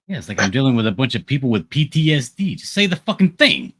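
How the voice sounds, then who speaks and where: Blunt exasperated man, lively pitch. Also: quick pace, husky, dry recording. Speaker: artist hosting the stream. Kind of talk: casual banter on a livestream.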